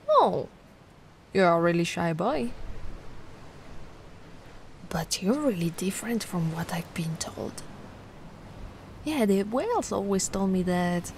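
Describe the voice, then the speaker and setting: A woman voicing a giant, sweet and curious young mermaid in an ASMR roleplay, gushing and doting in a gentle, cooing way. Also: on the high side. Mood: playful, sweet. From Italy. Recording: noticeable ambient background noise.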